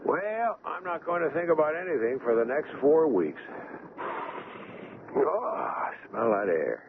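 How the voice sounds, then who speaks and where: An actor playing a young, newly qualified doctor, friendly and curious, in a radio drama. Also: male voice, on the high side, very animated, unhurried. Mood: cheerful, eager. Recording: tinny, noisy old radio broadcast.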